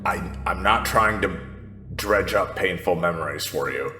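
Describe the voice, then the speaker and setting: A man's voice: sits low, measured pace, sharp tone, faint music behind. A man playing a troubled, hard-edged woman pressing for answers in a tabletop game. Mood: probing.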